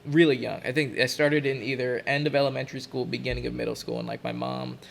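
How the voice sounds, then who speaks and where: A man's voice: mid-range voice, lively intonation, quick pace, creaky, in a large hall. A young man, a podcast guest, telling stories in a laid-back, slangy way and laughing often. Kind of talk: casual chat.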